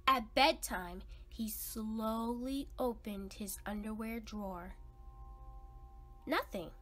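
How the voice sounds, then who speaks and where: A woman's voice: on the high side, slow, fairly bright tone. A woman narrating a children's read-aloud expressively, with dramatic, suspenseful emphasis. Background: background music.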